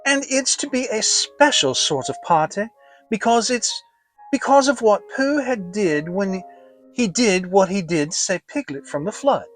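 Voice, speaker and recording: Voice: medium pitch, animated, a little slow, soft background music, pitched above the performer's own voice, lightly acted, rough recording. A narrator voicing an eager, earnest little boy in a children's storybook.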